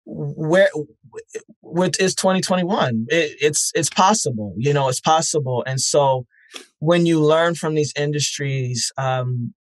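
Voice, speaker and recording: Earnest man speaking haltingly, close dry sound. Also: on the high side, no echo, poor audio. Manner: earnest, halting, repeats words as he thinks. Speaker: interview guest, festival founder. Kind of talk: reflective conversational interview.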